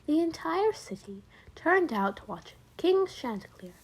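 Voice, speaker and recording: A woman's voice: high-pitched, sing-song, a little slow, rough recording. A woman reading scripted story narration as a student volunteer in readers theater.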